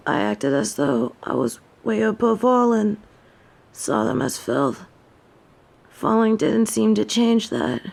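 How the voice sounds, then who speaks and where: A woman performs a proud fallen angel woman from an audio drama. Her delivery is unhurried and theatrical, with formal, haughty wording and a creaky edge at a middling pitch. Beneath the imperious manner sits a softer streak. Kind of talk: scripted audio roleplay acting.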